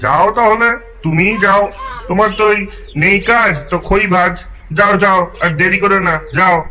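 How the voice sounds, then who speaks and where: Nosy village uncle in a fairy tale, real sharp high voice, breezy and sly. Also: male voice, fairly even intonation, normal speed, low-quality recording. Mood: chatty, sly. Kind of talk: animated fairy-tale voice acting.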